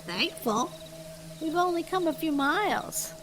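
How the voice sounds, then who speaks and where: Woman putting on a sugary, high-voiced young drifter. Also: expressive, measured pace, music in the background. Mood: wary. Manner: sugary, playful, feigned innocence.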